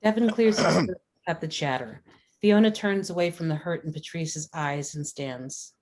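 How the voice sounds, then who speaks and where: Very low-pitched woman narrator. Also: normal speed, darkish tone. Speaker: stage directions narrator. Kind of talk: scripted screenplay narration.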